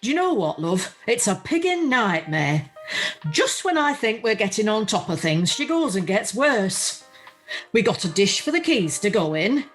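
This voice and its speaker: A woman's voice: mid-range voice, big pitch swings, normal speed, natural voice. A woman with a Northern English accent playing a middle-aged, blunt, weary widow who cares for her mother in a radio play. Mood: exasperated, chatty. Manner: blunt, colloquial, grumbling. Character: chatty, worn-out, down-to-earth widow caring for her mother. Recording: video call recording with music.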